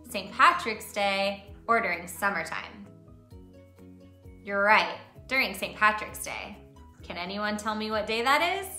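Woman, 30s, children's read-aloud teacher: expressive, animated, unhurried, slightly high voice. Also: roomy sound. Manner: expressive, patient, questioning delivery for young children.

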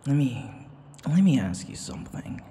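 A man playing an obsessive, lovesick young vampire in an intimate ASMR roleplay, breathy and whispery, halting and full of sighs. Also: medium pitch, sing-song, warm tone, exaggerated voice. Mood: wounded, bitter. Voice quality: breathy, often whispery, creaky.

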